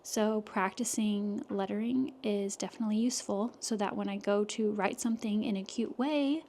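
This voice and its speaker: A soft-spoken woman chatting casually to camera in her home art studio, talking through her work with lots of fillers. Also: medium pitch, normal speed, slight background noise. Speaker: illustrator vlogger. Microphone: external microphone.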